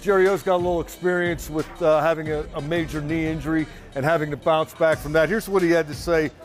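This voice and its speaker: A man's voice: on the high side, fairly even intonation, quick pace, faint music behind. A man in his sixties, a team reporter, talking through his analysis in a casual, conversational way outdoors at a practice field. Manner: conversational, analytical, occasional dry humor. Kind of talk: casual sideline chat.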